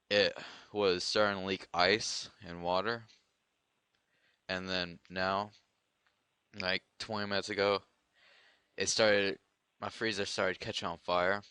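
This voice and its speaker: A man chatting casually and making a prank phone call in a deadpan, slangy manner. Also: low-pitched, smooth, dry recording, studio quality. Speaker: prank caller.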